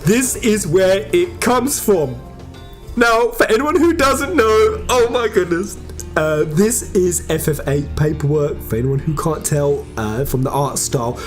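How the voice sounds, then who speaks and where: An emphatic, informal host giving excited fan theory commentary on a video game. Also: medium pitch, unhurried, faint music behind.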